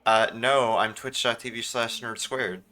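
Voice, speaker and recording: A man's voice: mid-range voice, steady tone, slow pace, pushed and bright, soft background music. A man streaming a video game with casual, joking commentary and mock indignation.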